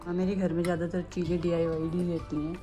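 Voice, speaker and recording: A woman's voice: fairly low pitch, flat delivery, fast, warm tone. Chatty young woman vlogging over music. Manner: chatty, giggly, talks to camera while showing food. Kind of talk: casual daily vlog chat. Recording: music playing behind.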